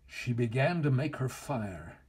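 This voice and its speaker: An older man reading a children's story aloud in an expressive, deliberate, dramatic way. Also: medium pitch, slightly rough. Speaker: storyteller, actor.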